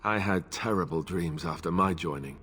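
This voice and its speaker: A somber veteran warrior talks earnestly. His voice is low and husky. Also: moderate pace, a bit bright. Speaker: game character.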